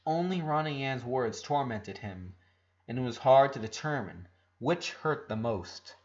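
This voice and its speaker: Someone narrating a dramatic story, mid pitched voice, bit muffled lo-fi mic. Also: lively intonation, a little slow. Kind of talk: dramatic fiction reading.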